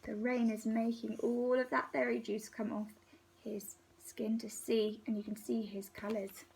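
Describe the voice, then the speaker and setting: Female storybook reader for young children: slow, animated delivery with a breathy edge and deliberate pauses. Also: on the high side, rough recording. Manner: unhurried, animated, pauses between phrases for young listeners. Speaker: teacher reading a picture book to young children. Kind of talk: expressive children's storybook read-aloud.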